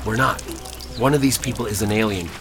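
An actor playing a determined, earnest undercover alien hunter in an audio drama. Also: male voice, on the low side, brisk, lightly acted. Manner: earnest, insistent. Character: determined male alien-hunting undercover agent. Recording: music and ambience behind.

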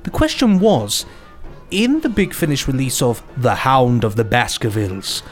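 A man's voice: mid-range voice, huge pitch swings, moderate pace, faint music behind. A British man co-hosting a podcast, reading out listener emails in a casual, jokey, theatrical way. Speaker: podcast co-host.

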